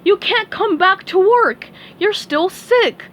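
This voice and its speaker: A woman voicing a gruff middle-aged male crab boss who shouts his orders. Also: extremely high pitch, expressive, slow, a little hiss or hum, voice pushed up, full character voice. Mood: stern.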